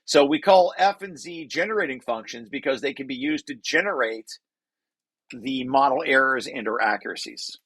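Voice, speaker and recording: A man presenting a technical paper, reading passages aloud and breaking off into informal, halting explanations with repeated restarts. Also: medium pitch, fairly bright tone, breathy edge, no room sound.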